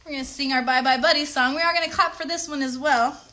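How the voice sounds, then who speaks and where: High, bright-voiced woman hosting for kids. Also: steady tone, fast talker. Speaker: host of a children's puppet show. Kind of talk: chatty livestream for young children.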